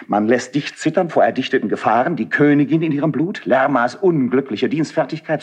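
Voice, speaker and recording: An actor playing a noble, self-sacrificing friend of a prince in a classical verse radio drama, declamatory and emotional, moving between hushed and fervent delivery. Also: male voice, mid-range voice, slightly rough.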